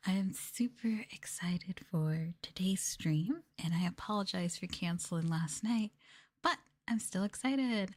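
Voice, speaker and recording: A woman streamer chatting softly and gently in an ASMR style, often slipping into a whisper, close to the microphone. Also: medium pitch, unhurried. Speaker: streamer host of an ASMR livestream. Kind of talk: soft-spoken ASMR chat with whispering. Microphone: close microphone for headphone listening.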